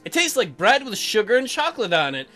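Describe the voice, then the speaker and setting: Animated, irreverent man playing a game. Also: sits high, fairly quick. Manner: irreverent, jokey. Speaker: let's play host. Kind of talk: joking casual game commentary and narration. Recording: music behind the voice.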